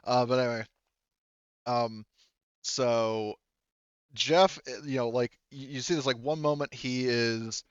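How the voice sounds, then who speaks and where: A man narrating a tabletop roleplaying game as game master, informal and halting, often correcting himself. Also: mid-range voice, fairly even intonation, smooth, dry recording, dull audio.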